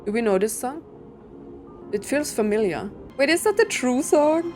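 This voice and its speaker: A woman's voice: sits high, very animated, moderate pace, a little mellow, faint music behind. A woman giving casual reaction commentary as a game streamer, thinking aloud and asking questions as she goes.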